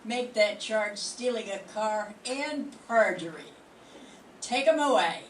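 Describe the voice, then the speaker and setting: An older woman playing a gruff, sarcastic male police sergeant in an amateur radio drama reading. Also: a little high, animated. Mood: brusque. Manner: brisk orders, dry sarcasm. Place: classroom. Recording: echoey room, far from mic, noise.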